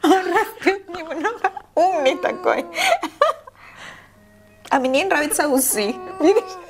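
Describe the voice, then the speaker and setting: A woman's voice: extremely high pitch, slow pace. A young woman making casual comments as she watches a cartoon. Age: young adult. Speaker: reaction show participant. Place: indoor studio set, seated at a table. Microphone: clip-on lapel mic. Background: faint cartoon soundtrack music.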